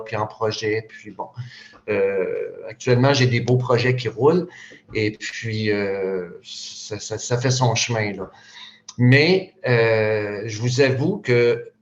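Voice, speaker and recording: A man of sixty-five with a Quebec French accent, speaking casually at a community panel, hesitant with many fillers and restarts. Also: mid-range voice. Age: 60s. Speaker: panel speaker, retired psychoeducator. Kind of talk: casual public talk. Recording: roomy, dull lo-fi sound.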